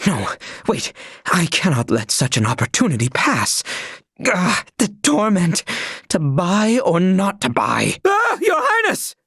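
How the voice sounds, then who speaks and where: An actor playing a devoted, earnest young knight in a video game, speaking in formal, archaic courtly language. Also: male voice, sits high, huge pitch swings, measured pace, gravelly, fairly bright tone, slightly rough, no room sound, clean studio sound, full character voice. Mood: flustered, eager.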